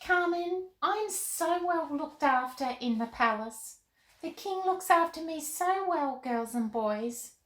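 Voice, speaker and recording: A woman voicing an excitable, sweet, eager nine-year-old girl doll who gushes to children in a kids' show. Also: high voice, slow, echoey room, voice pushed up, exaggerated voice. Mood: contented. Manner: gushing, exclaiming, addressing children. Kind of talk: puppet-style children's show banter.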